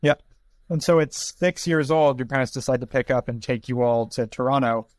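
A man's voice: medium pitch, normal speed, no echo. A man hosting a podcast interview in a casual, informal and inquisitive way, with some joking.

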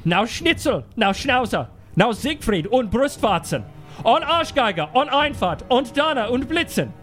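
A man playing a solemn, gloomy German cultural ambassador with a German accent, giving a dramatic comic reading and pedantic deadpan explanations. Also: very high voice, unhurried, full character voice. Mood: frantic, aggressive. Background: music behind the voice.

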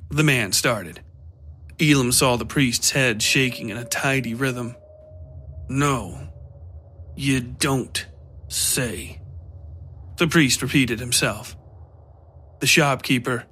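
A man narrating scripted dark fiction for a podcast. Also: medium pitch, a little hiss or hum.

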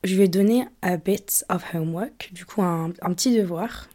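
A woman's voice: lowish voice, normal speed, mellow. A young woman from England hosting her own podcast, chatting casually and rambling with lots of fillers and restarts. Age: young adult. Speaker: podcast host. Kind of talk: casual solo podcast chat.

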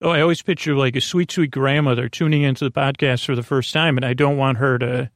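A man with a creaky voice hosting a bedtime podcast, meandering gently and hesitantly, often interrupting himself in a soothing way. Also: medium pitch, fairly even intonation, fast, dry recording, studio quality. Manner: soothing, gentle, soft-spoken. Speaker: podcast host. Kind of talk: rambling bedtime podcast monologue.

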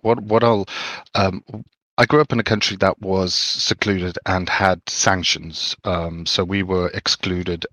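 A man from Zimbabwe, co-hosting a podcast, talks in a halting, thoughtful way and often repeats his words. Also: sits low, fairly bright tone, no echo. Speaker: podcast co-host. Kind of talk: casual chat.

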